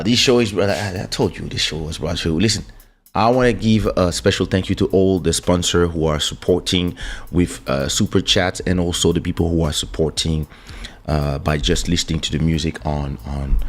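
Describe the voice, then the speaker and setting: Deep voiced french guy rambling on his stream, ums and restarts, faint music behind. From France. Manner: chatty, loose, lots of fillers and restarts, greets viewers. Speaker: livestream host and musician. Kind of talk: casual livestream chat. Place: home streaming setup in Portugal. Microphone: studio desk microphone.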